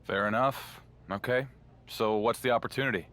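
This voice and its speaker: A man's voice: on the low side, normal speed, a bit bright, natural voice. An actor playing a level-headed, skeptical champion wrestler in a video game cutscene, talking as a dry, incredulous straight man.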